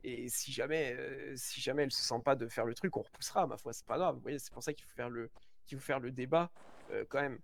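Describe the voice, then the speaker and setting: A man with a gravelly voice at middling pitch fields questions as a mayoral candidate in a casual roleplay. He talks frankly and confidently, yet stumbles through fillers and restarts. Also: a little fast. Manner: hesitant, many fillers and restarts; frank, self-assured. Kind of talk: casual roleplay press conference.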